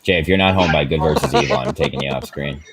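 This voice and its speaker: Deep-voiced man, rambling profane stories. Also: fast, a loud crowd. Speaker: podcast co-host. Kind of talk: casual chat. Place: at home.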